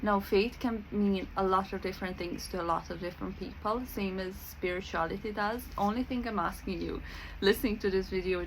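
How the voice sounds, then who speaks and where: A woman's voice: mid-range voice, a little hiss or hum, low-quality recording. A woman from Serbia with a Serbian accent, talking casually to camera as a spiritual coach, chatty with many fillers and frequent laughs.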